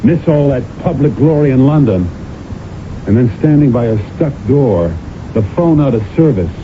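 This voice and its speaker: An actor playing a stern, accusing husband who interrogates pointedly in a radio mystery drama. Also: male voice, medium pitch, animated, moderate pace, close to an everyday voice. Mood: relentless, pressing. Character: stern, accusing husband, a relentless talent agent. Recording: noisy, narrow-band old radio recording.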